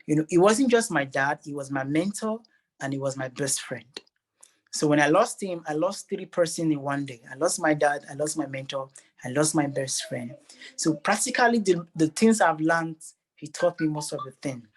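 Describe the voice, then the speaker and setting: A man's voice: fairly high pitch, moderate pace. Earnest Nigerian man, motivational speaker. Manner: earnest, emphatic, repeats phrases for effect. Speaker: interview guest, motivational speaker. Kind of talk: motivational interview answer.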